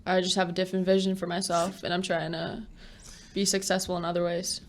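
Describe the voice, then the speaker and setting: A young woman as a podcast guest, talking in casual chat with many pauses and fillers. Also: fairly low pitch, level tone. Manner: hesitant, many ums and likes.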